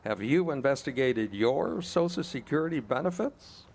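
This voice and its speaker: A man's voice: medium pitch, animated, moderate pace, darkish tone. A man announcing from a script as emcee of a radio-style show.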